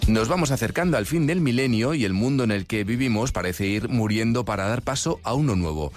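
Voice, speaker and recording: A man's voice: medium pitch, lively intonation, brisk, music in the background. A man presenting a radio magazine and introducing contributors.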